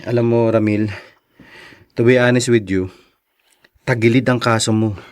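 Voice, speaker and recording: Lalaking gumaganap na prangkang kaibigang abogado. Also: male voice, mid-range voice, steady tone, smooth, close to an everyday voice. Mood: grave, candid. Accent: Filipino. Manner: candid, measured. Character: frank, concerned lawyer friend of the prisoner.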